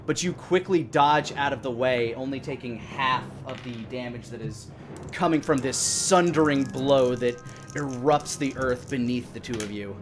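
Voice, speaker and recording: A man in his thirties narrating a tabletop game as game master, descriptive, with hesitant pauses. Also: medium pitch. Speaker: game master and stream host. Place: indoor room at home, live stream. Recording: music playing behind the voice. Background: background music.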